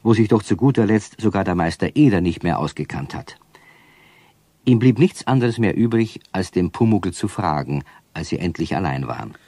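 An actor playing a genial storyteller who narrates a radio play for young listeners. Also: male voice, deep voice, lively intonation, moderate pace, dark tone, slightly rough, dry recording, exaggerated voice.

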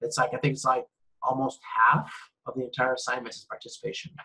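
Male lecturer, middle-aged, slightly husky, casual classroom delivery with frequent fillers. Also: medium pitch, little pitch movement, fast, sharp tone, low-quality recording. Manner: loose, conversational, with many fillers and restarts. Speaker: university lecturer. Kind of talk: recorded lecture over slides.

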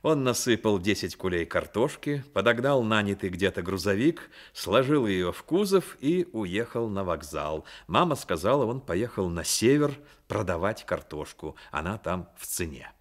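An actor playing the storyteller of a radio play, narrating in a literary style. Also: male voice, lowish voice, sing-song, normal speed, hardly any pauses. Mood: reflective. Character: storyteller narrating a boy's life.

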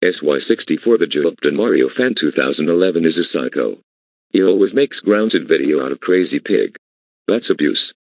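A man's voice: a bass voice, lively intonation, moderate pace, no echo, exaggerated voice. A synthetic male voice playing a scheming cartoon troublemaker, speaking stilted scripted lines. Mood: smug, scheming. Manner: stilted, synthetic text-to-speech delivery. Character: scheming blue cartoon troublemaker who makes prank calls. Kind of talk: scripted animated dialogue.

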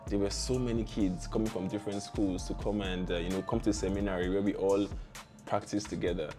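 A man's voice: lowish voice, fairly even intonation, brisk, over music. A young man with a Nigerian accent, telling stories casually and with informal slang as an interview guest. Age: young adult. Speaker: guest, tech founder. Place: small curtained indoor studio, seated.

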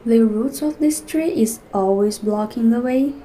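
A woman's voice: fairly high pitch, a little slow, slight background noise, lightly acted. A woman voicing a weary, grumbling office woman. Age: young adult. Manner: complaining, weary, flat. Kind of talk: scripted inner-monologue narration.

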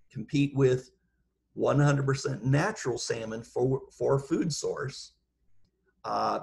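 A man giving an informal expert talk over a video call, explaining things in a halting, measured way. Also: medium pitch, gravelly. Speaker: guest speaker, seafood industry professional.

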